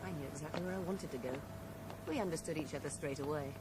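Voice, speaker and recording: A woman's voice: low-pitched, fast talker, lightly acted. An actress playing a composed, ambitious female accomplice, clipped and defiant, in a 1980s radio drama. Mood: proud, candid. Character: cool, ambitious, unapologetic female accomplice hungry for power. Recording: noisy, hissy old radio broadcast recording.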